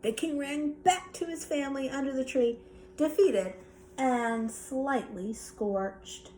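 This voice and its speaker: Slow, high-voiced woman reading a story. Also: dark tone, a little hiss or hum, rough recording. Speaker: storybook reader. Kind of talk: expressive children's bedtime story narration.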